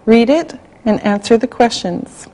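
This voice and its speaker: A woman reading a language-class listening exercise, spelling out words and dictating sentences slowly and carefully. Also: a little high, expressive, mellow, rough recording. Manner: slow, carefully spelled out, evenly paced. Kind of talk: slow scripted dictation.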